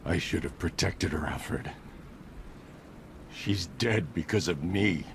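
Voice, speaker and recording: An actor playing a grim, brooding vigilante hero in a video game, terse, stern and commanding. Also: male voice, low voice, slow pace, gravelly, a little hiss or hum, full character voice. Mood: grieving, grim. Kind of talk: video game cutscene acting.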